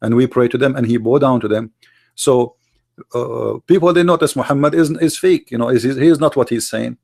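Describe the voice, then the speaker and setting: A man who grew up speaking Arabic argues in halting, non-native English full of fillers. His mid-pitched voice has a gravelly, fry-laden edge. He talks as a podcast guest making a case. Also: a little mellow. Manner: argumentative, non-native grammar, many fillers. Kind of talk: podcast interview.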